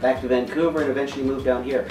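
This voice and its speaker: A man's voice: mid-range voice, steady tone, very fast, echoey room, far from the mic, over music, low-quality recording. A man in his sixties from Saskatchewan, a veteran actor chatting as a guest in an interview, joking about himself and laughing often. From Saskatchewan, Canada. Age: 60s. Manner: self-mocking, laughs often. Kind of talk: casual interview chat.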